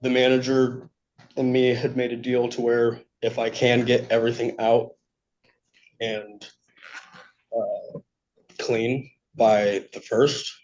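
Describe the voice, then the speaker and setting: A man giving unscripted testimony to a judge, speaking in a halting, polite way. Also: mid-range voice, level tone, a little mellow, a little hiss or hum. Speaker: petitioner testifying in court. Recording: dull, lo-fi courtroom audio.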